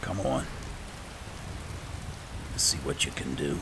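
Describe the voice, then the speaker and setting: Deep croaky guy doing in-character game commentary, really swoopy delivery, loud rainy noise behind. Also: sing-song, slow. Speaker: gameplay host playing a roleplay character. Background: game sound with rain.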